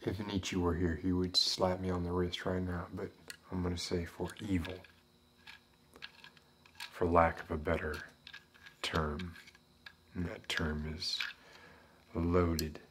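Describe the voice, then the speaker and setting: Guy doing a brooding southern ex-detective, deep voice, rambling philosophy real slow, asmr roleplay, some background noise. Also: little pitch movement, fairly bright tone. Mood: brooding, philosophical. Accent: Southern American. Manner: deliberate, halting, musing, intellectual. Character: world-weary, brooding philosophical Southern former detective being questioned.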